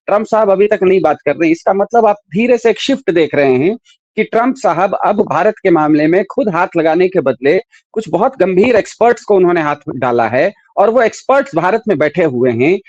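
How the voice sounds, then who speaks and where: A man with a fairly high voice talks through politics off the cuff, explaining step by step and repeating points for emphasis. The recording is slightly dull and lo-fi, with little room sound. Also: darkish tone, no room sound. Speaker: political commentator.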